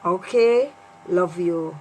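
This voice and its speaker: A woman's voice: on the low side, slow pace, a little mellow, rough recording. Jamaican woman storytelling over humming noise. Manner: emphatic, repeats phrases for effect. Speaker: vlogger telling a personal story. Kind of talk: casual personal storytelling to viewers. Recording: clear mains hum and noise.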